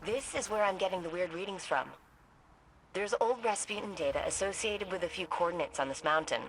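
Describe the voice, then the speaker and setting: A woman plays an earnest young investigator from a video game, her voice sitting a little low. She talks thoughtfully and conversationally, puzzling over what she has found as she briefs her soldiers. Clear noise and ambience run behind her. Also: fairly quick, close to an everyday voice, poor audio. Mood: curious, puzzled. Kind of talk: video game voice acting, mission briefing dialogue.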